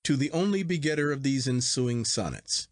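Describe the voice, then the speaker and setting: A man voicing a curious, self-assured younger gentleman in a storybook reading, exclamatory and inquisitive. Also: mid-range voice, lively intonation, normal speed, no room sound, studio quality, natural voice. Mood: excited, amazed. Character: eager, curious younger gentleman, excitable and sure of himself. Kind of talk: acted dialogue in storybook reading.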